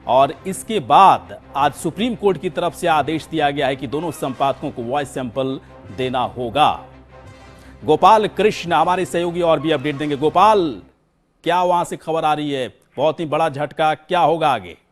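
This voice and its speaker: A man's voice: a little high, moderate pace, faint music behind. A man anchoring live breaking news in an emphatic way, often repeating words for stress.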